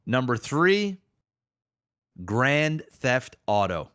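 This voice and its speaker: Casting: man, podcast host, medium pitch, opinionated and emphatic, slangy, sweary, casual delivery. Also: very animated, drawn-out words, fairly bright tone, smooth, no echo, clean studio sound.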